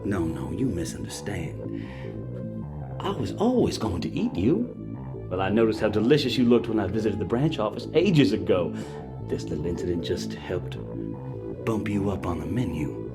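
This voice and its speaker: An actor playing a smug cannibal senator in an audio drama, genteel and unhurried, with sinister relish. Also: male voice, low-pitched, very animated, over music. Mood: gloating menace.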